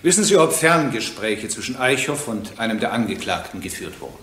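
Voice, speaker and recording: An actor playing a stern, impatient presiding judge in a radio courtroom drama, formal and probing. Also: male voice, on the low side, sing-song, brisk, a bit bright, slight background noise. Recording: echoey courtroom-like room.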